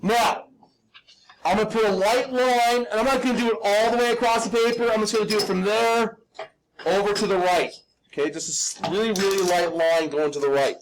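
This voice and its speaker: High-voiced, slightly raspy male drafting teacher. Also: fairly even intonation, brisk, slight background noise, rough recording. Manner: instructional, checks in often with "okay?"; informal, folksy, self-deprecating. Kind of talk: live step-by-step hand drawing demonstration.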